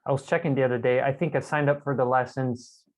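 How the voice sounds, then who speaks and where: A man from Brazil talks as a guest over a video call, reflective and candid. His voice sits at an ordinary middle pitch. He is a self-amused storyteller in a casual conversation. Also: steady tone, quick pace. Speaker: podcast guest.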